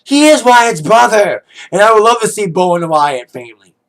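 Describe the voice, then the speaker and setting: A man with a raspy, worn-out voice giving a casual spoken review, rambling with plenty of "like" and "uh". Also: high-pitched, very animated, normal speed, fairly bright tone. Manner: rambling, heavy on "like", "uh" and "you know". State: hoarse, voice fading after a DJ gig. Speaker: wrestling pay-per-view reviewer.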